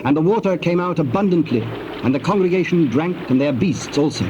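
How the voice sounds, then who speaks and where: A man narrating scripture in a formal, solemn and measured manner, as part of a dramatized reading. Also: a little high, lively intonation. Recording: dull, noisy old cassette tape.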